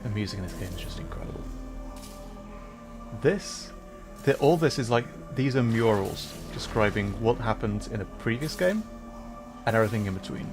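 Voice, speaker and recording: A man's voice: fairly low pitch, lively intonation, moderate pace, pauses often, over music. A man streaming a game live, explaining level design in an enthusiastic, rambling way. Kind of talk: live gameplay commentary.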